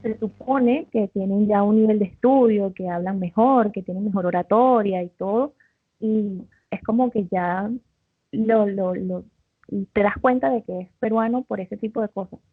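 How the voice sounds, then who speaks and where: A woman's voice: mid-range voice, normal speed, slightly rough, no echo. A woman co-hosting who tells a personal anecdote in a chatty, conversational way. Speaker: co-host. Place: living in Peru. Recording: tinny phone-like call line.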